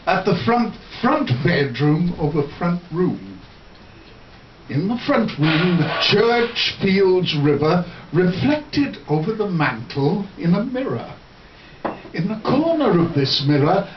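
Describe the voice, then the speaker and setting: Casting: male poet, high animated voice, theatrical and deliberate, reciting live, heard from far off in a bar. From Wales. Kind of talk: live poetry reading with spoken introduction. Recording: roomy, distant, muffled, faint noise.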